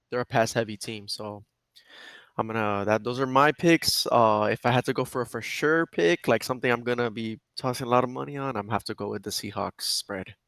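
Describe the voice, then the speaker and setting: A man's voice: lowish voice, no room sound. Man, twenties, laid-back, podcast co-host, dry close sound. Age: 20s. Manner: relaxed, laid-back, lots of fillers. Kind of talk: casual chat about sports bets.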